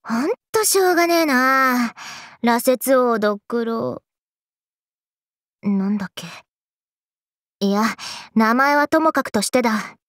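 A woman's voice: a little high, takes it slowly, pauses often, no echo, clean studio sound. An actress voicing a fun-loving, trendy young party girl in an anime game, exuberant and breezy with slangy speech. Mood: wry. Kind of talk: anime game character lines.

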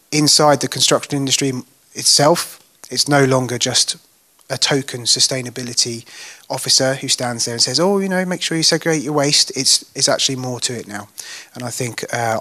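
A man is taking part in a panel discussion, speaking unscripted. His voice is of middling pitch with a sharp, bright edge that gives it force. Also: normal speed.

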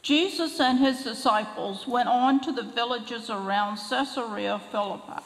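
An older woman reading scripture aloud at a church lectern, slow and careful. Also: fairly high pitch, a bit bright, slight background noise. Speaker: scripture reader. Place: large church sanctuary, at a lectern. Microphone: lectern microphone. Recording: long reverb of a large church hall.

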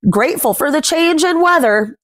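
A woman's voice: high-pitched, animated, moderate pace, a bit bright, no echo, clean studio sound. A young woman reading a picture book aloud to small children, expressively and with sing-song phrasing, chatting about it as she goes. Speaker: children's read-aloud host. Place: small indoor room at home.

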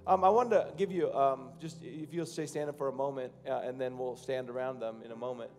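A man in his thirties, a pastor, preaching and then praying over his congregation from a church stage, with loose, halting phrasing that rises to fervent prayer. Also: medium pitch, steady tone, a little mellow, soft background music. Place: large church stage, indoors.

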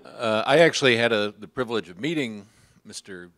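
An older man in a library audience, asking a question into a handheld microphone, speaking in a measured way with hesitations. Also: mid-range voice, lively intonation, a bit bright. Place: library meeting room, in the audience.

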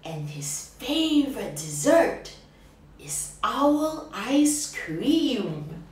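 Woman reading as a cheeky little mouse, lively and high. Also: extremely slow, exaggerated voice. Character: small clever mouse, bright and cheeky. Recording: echoey room, distant mic, faint ambience.

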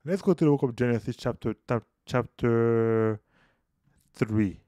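A man's voice: medium pitch, measured pace, no room sound. A man in his thirties explaining scripture casually in a video, gesturing as he talks. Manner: explanatory, animated hand gestures. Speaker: Bible teacher. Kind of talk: casual Bible study video. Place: small room indoors. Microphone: studio mic on boom, headphones.

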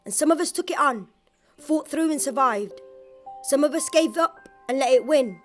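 A woman's voice: high voice, animated, normal speed, soft background music. A woman from Birmingham, a spoken word artist, reciting a poem in a rhythmic, declamatory voice-over. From Birmingham, England.

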